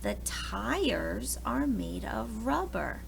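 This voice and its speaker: Woman, preschool read-aloud, lively pitch swings, frequent creak, talks straight to little kids. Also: extremely slow, a little hiss or hum. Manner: expressive, talks directly to young children. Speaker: preschool teacher reading aloud. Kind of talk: children's picture book read-aloud with asides.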